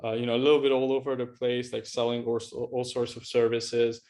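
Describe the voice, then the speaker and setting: Casual male interview host, halting delivery. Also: medium pitch, completely flat delivery, a little mellow. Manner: halting, repeats words, many "you know". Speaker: host, agency coach interviewing a student.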